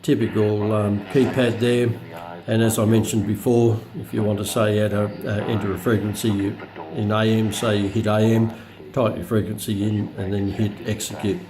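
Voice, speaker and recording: A man giving a casual, unscripted product demonstration as presenter, halting and searching for words. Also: lowish voice, level tone, crowd noise. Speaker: presenter of a hobbyist radio review video. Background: radio broadcast voices playing from the demonstrated receiver.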